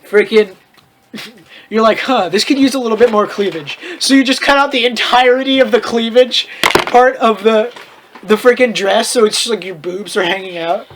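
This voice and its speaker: A man's voice: sits high, normal speed, a bit bright, slight background noise. A man reading a story aloud in a casual comedic way, mock-dramatic and often breaking off to riff. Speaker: host reading fan fiction aloud with commentary.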